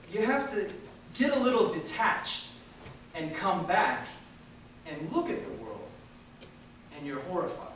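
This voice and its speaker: A man reading a political speech aloud at a public event in an emphatic, oratorical way, with dramatic pauses. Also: high voice. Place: large echoey room at a live university event. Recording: echoey hall from far off, faint noise.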